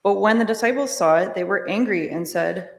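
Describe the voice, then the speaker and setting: A woman's voice: medium pitch, gravelly. Woman reading scripture in an echoey church. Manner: measured, formal. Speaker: narrator, scripture reader. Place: large church during a service. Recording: echoey church, long reverb.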